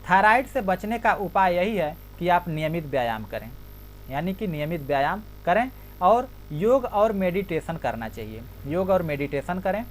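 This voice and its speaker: A woman with a fairly low voice gives a steady explanatory health talk. She makes a point, then says it again in other words. A faint hum runs under the recording. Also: rough recording. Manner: repeats each point with a rephrasing. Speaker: health educator.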